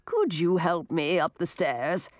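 A woman voicing a frail old male beggar in a storybook, plaintive and falsely humble with drawn-out phrasing. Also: lowish voice, animated, dry recording, clean studio sound. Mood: feigned politeness. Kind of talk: storybook character voice.

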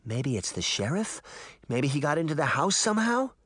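A man voicing an eager, excitable little girl, the gushing younger sister in a children's audiobook. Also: on the high side, normal speed, no echo, clean studio sound, voice pushed up. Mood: curious.

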